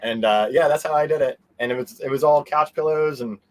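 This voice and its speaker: A man's voice: fairly high pitch, brisk. A middle-aged man chatting casually as an interview guest, easygoing and meandering in how he talks. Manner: easygoing, meandering, chuckles often. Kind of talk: casual chat.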